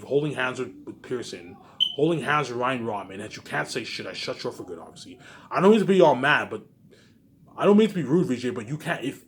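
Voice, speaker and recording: A man's voice: medium pitch, slight background noise. A man giving a rambling, informal monologue, repeating his phrases and swearing often, with words tagged onto the ends of sentences. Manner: repetitive, circles back to the same phrases; frequent swearing. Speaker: solo video speaker.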